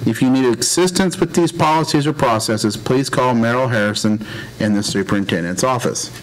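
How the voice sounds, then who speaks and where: A man's voice: medium pitch, a little fast, a little hiss or hum, rough recording. Man formally reading a public meeting statement. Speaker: school board presiding officer. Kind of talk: formal scripted statement read aloud. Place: public meeting room, indoors.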